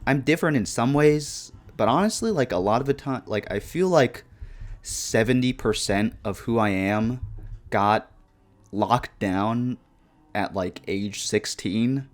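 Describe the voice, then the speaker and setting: A man in his twenties talking casually and reflectively over gameplay, in a deadpan monotone with lots of "like" and "um". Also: medium pitch, unhurried. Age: 20s. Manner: deadpan monotone with many fillers. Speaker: YouTuber.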